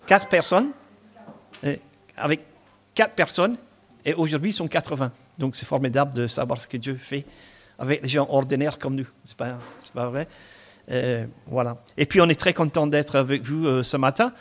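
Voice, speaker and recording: A man's voice: mid-range voice, normal speed. A man in his sixties with a Scottish accent, preaching a chatty sermon sprinkled with asides and humor. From Scotland. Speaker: pastor.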